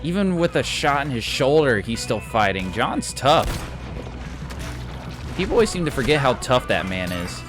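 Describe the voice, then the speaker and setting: A man's voice: medium pitch, big pitch swings, moderate pace. A man with a Midwestern American accent giving casual, blunt and profane commentary while streaming a game. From Midwest, USA. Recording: game music playing behind.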